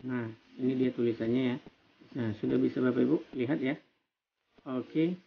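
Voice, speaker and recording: Gravelly Indonesian man giving a tutorial. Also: medium pitch. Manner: explanatory, often checks along with "ya" and "nah". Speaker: university tutor. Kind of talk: informal step-by-step screen tutorial. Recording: dull lo-fi phone recording with music. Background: music.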